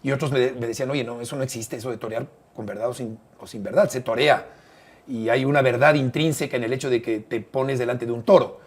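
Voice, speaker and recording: An older man with a Mexican accent speaks in a relaxed, measured way, neither high nor low in pitch. He tells his stories with frequent "eh" pauses and repeats phrases as he thinks. The effect is of an easygoing interview guest remembering the past. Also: slightly rough. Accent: Mexican Spanish. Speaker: interview guest, broadcaster and bullfight writer. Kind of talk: relaxed conversational storytelling.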